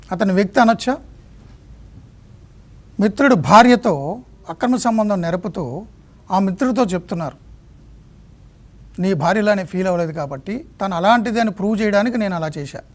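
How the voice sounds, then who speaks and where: A man's voice: sits high, expressive, moderate pace, pauses often. A man presenting TV news commentary, speaking emphatically and indignantly, repeating phrases and posing rhetorical questions. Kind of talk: indignant exposé commentary on a leaked call.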